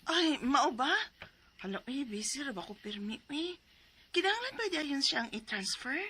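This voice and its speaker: A woman's voice: sits high, sing-song, normal speed, sharp tone, a little hiss or hum, full character voice. An actress playing an earnest single woman in her thirties in a Cebuano Filipino radio drama, narrating and chatting. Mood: cheerful, casual. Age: 30s.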